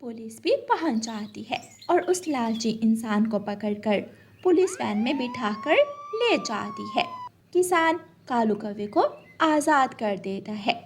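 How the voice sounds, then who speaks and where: Female children's story narrator: very high, animated, expressive scripted read. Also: unhurried, slight background noise.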